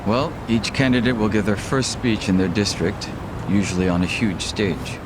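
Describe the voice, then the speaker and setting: Actor as deep-voiced, cool, measured underworld man. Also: fairly even intonation, background noise, natural voice. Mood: cool, informative. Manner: terse, measured, matter-of-fact. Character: cool, serious, well-informed male underworld ally. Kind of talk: English game dub cutscene acting.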